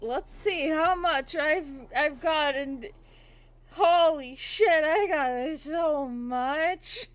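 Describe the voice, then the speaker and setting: High-voiced, rambling woman livestreamer. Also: extremely slow. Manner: giggly, rambling, repeats phrases. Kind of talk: casual game stream chat.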